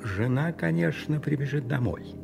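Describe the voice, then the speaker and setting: A man playing an imperious, scheming French cardinal in a radio drama, commanding and deliberate. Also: medium pitch, expressive, creaky. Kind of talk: radio play acting. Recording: music behind the voice.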